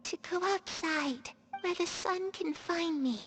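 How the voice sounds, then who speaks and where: A young ghost girl in a video game, haunted yet gentle. Her childlike voice is high and hesitant, moving slowly and dreamily over quiet music. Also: heavily acted. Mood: eerie, wistful.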